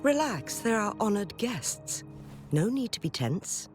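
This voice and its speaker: A man voice-acting a courteous, unhurried recluse in a video game, with a formal and calm manner. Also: high-pitched. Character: courteous, unhurried male host living in seclusion. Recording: music behind the voice. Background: game music.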